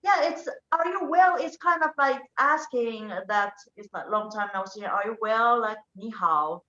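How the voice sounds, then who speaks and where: Woman guest, Finnish first language, bright, echoey room with dull lo-fi sound. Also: a little high, a little slow. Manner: hesitant, searching for words. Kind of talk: casual panel chat.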